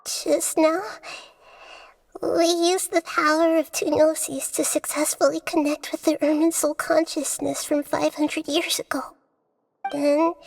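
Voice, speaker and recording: A woman voicing a small, gentle young girl deity in a video game, earnest, hesitant and vulnerable. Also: high-pitched, creaky. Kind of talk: English video game voice acting.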